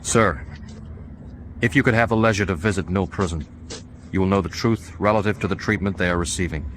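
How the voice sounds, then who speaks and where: An actor playing a kindly, measured reverend in a period radio drama, speaking formally and reassuringly. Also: male voice, deep voice, a bit bright, slight background noise. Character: kindly reverend bringing news to prisoners.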